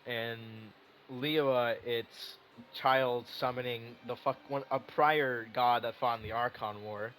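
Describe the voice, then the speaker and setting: A man's voice: mid-range voice, fairly even intonation, slow pace, a bit bright, slight background noise. A man giving casual game reaction commentary as a streamer, rambling, teasing and swearing freely.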